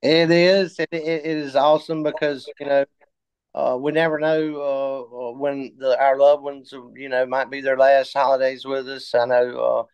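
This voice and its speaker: A man of fifty telling his story in a casual interview, halting with many fillers and restarts. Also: medium pitch, level tone, darkish tone, clean tone, no echo, lo-fi sound. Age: 50s. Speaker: interview guest.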